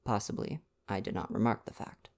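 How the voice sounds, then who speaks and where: A man voicing a grave, measured little detective from a storybook, speaking slowly and deliberately. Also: lowish voice, fairly even intonation, darkish tone, voice pushed down, natural voice. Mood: grave, dry.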